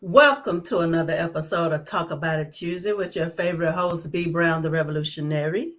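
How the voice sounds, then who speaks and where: A woman hosting a live online talk show, giving energetic welcomes and shout-outs. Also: deep voice, a little fast, a little hiss or hum. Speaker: host.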